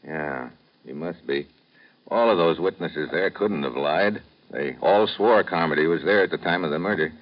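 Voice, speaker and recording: An actor playing a tough, wry American secret agent in a 1950s radio drama, terse and slangy in delivery. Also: male voice, sits low, moderate pace. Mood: wry, insistent. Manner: terse, hard-boiled, slangy. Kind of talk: 1950s radio drama acting and first-person narration. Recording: muffled, noisy vintage radio recording.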